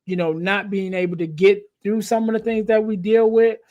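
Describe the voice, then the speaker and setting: A man's voice: sits high, level tone, moderate pace, mellow, lo-fi sound. A man in his late forties hosting a podcast interview, talking in a loose, rambling way full of "you know.". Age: 40s. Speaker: podcast host. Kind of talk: casual interview chat.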